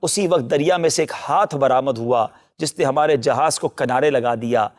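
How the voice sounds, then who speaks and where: A man's voice: mid-range voice, moderate pace. A man reading a religious tale aloud in formal, literary language.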